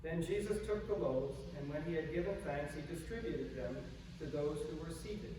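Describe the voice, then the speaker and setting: Soft-spoken pastor echoing in a church. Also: male voice, a little high. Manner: soft-spoken, gentle and measured. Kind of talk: scripture reading and sermon. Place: large old church sanctuary. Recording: echoey church hall from far off, noisy.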